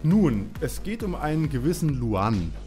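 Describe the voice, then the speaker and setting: A man plays an eager, naive young detective in a parody audio drama, earnest and excitable. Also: on the high side, a little slow. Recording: long reverb, faint music.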